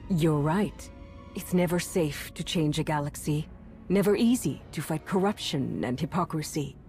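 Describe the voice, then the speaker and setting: A woman voicing a calculating, coldly menacing warlord in a video game, measured, solemn and authoritative. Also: sits low, warm tone. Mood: resolute, solemn. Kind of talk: dramatic video game monologue. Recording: music under the voice.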